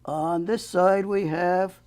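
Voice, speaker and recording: A man's voice: sits high, fairly even intonation, slow pace, a little mellow. An older man hosting a local TV talk show, folksy and prone to joking asides. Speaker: host.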